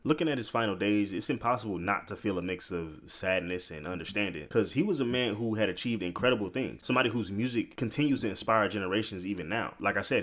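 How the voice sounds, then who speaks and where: Male storyteller, lowish voice, informal and opinionated, for biographical commentary that talks straight to the viewer. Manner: informal, opinionated, speaking directly to the listener. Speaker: narrator and commentator of a biographical video. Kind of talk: storytelling commentary, scripted narration with conversational asides.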